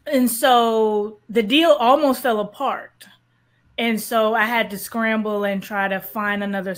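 A woman, a guest on an interview podcast, telling stories expressively over a video call from an office. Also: mid-range voice. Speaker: guest, real estate agent and author. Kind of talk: casual interview chat. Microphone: webcam on a video call.

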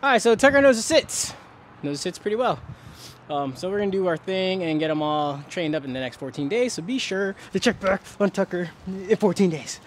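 A man's voice: high-pitched, expressive, brisk, a little hiss or hum. A man working as a dog trainer, talking casually and informally with wry asides while handling a dog outside. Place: outdoors in a narrow fenced turf yard.

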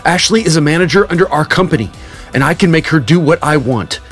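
A man's voice: on the high side, lively intonation, fast talker, fairly bright tone. An actor playing a bitter, commanding male company boss in an audio drama, with a smug manner. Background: background music.

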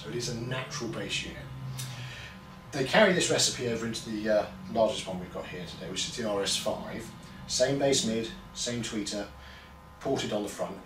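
A middle-aged man with an English accent gives a measured, explanatory product review to camera as a shop presenter, pausing between phrases. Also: lowish voice, fairly bright tone. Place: small indoor showroom with bare walls. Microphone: camera a few metres away. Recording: roomy, distant mic, clear background noise.

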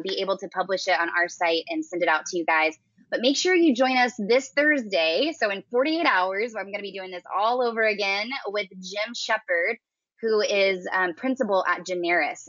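An upbeat woman host closing out a webinar from her home, handing out resources and announcements. Her voice sits at a middle pitch. The audio is dry and a little dull. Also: fairly bright tone, no room sound. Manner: upbeat, promotional. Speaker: interview host, business development VP. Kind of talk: webinar hosting and wrap-up.

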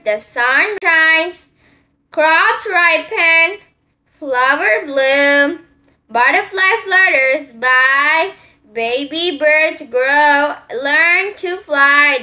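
Very high, slow, bright child reader. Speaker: host of a children's read-aloud channel. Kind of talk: picture-book read-aloud.

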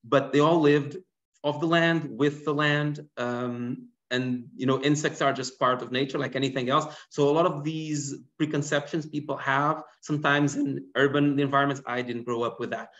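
A man's voice: medium pitch, moderate pace. A man in his thirties, originally from Portugal, answering audience questions as a guest biologist in an online lecture, talking animatedly. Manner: animated, gesturing while explaining. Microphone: laptop webcam mic on video call. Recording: dull lo-fi video call audio.

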